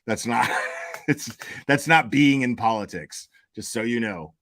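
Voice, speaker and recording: A man's voice: medium pitch, measured pace, a bit bright. A man hosting a commentary show, talking over clips in a sarcastic, profane, stammering way. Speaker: commentary host. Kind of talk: mocking reaction commentary.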